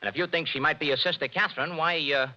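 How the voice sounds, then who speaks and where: An actor playing a brusque, bossy private detective in a 1940s radio drama, on a scratchy old recording with hiss. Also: male voice, a little high, quick pace, dull audio. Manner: brusque and bossy, with rising excitable outbursts. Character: brash wisecracking male private detective.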